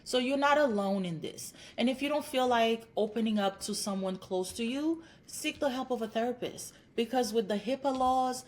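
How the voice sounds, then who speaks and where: A woman's voice: a little high, moderate pace, hardly any pauses. Earnest encouraging woman from Haiti. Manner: earnest, encouraging, speaks in lists. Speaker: clinical psychologist guest. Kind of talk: podcast interview.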